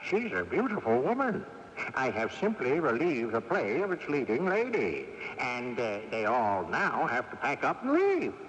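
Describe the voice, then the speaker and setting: A man's voice: medium pitch, wildly swooping pitch, a little slow, fairly bright tone, lo-fi sound. An actor playing a sly, stubborn old great-grandfather ghost in a theatrical radio mystery drama. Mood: smug, teasing. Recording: off-air 1980s radio tape, reverberant, hissy.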